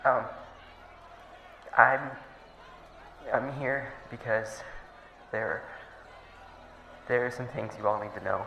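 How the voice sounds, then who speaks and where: A man's voice: mid-range voice, takes it slowly, vocal fry, long pauses, muffled recording, slight background noise. A man playing a timid academy professor in an audio drama, stammering anxiously over a public intercom. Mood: nervous. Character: nervous, timid male academy advisor and professor. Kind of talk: dramatic audio roleplay acting. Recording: echoing intercom with long reverb, faint crowd.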